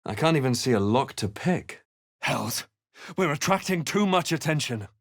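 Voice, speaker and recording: Grave, measured fantasy adventurer. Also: male voice, mid-range voice, animated, no room sound, clean studio sound. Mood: wary, terse. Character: male adventurer hero, grave, watchful, introspective. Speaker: video game player character. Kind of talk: scripted narration and character lines for a fantasy game.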